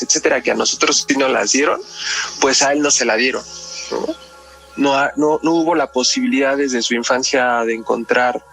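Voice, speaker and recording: A man's voice: mid-range voice, creaky, a bit bright. A man with a Mexican accent talking analytically in a podcast discussion, explaining things and often checking with tag questions.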